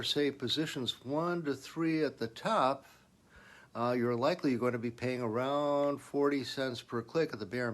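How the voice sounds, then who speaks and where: A man with a medium-pitched voice narrates a business lesson. He follows a semi-scripted explanation in an instructional manner, leaning on the key points as he goes. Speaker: business advice presenter.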